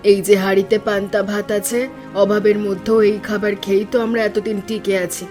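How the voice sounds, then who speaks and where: A woman voicing a resolute, hopeful poor village mother in an animated cartoon story, earnest and encouraging. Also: medium pitch, brisk, faint music behind, close to an everyday voice.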